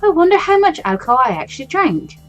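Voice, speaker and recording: Expressive woman with a very lively pitch. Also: quick pace, lo-fi sound. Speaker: let's play host. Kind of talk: expressive read-aloud game playthrough with casual asides. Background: faint game music.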